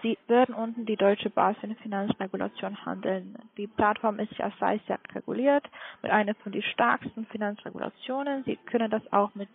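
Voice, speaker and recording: A woman with a foreign accent in German making a polite, halting sales call as a non-native speaker. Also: on the high side, vocal fry, husky. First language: not German. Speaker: telemarketing caller for a trading firm. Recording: tinny phone line.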